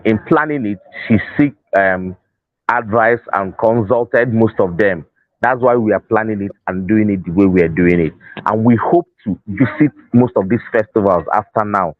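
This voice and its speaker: Nigerian man, lowish voice, close dry sound. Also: no echo, narrow, tinny audio. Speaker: interview guest, festival organiser. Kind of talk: unscripted interview talk.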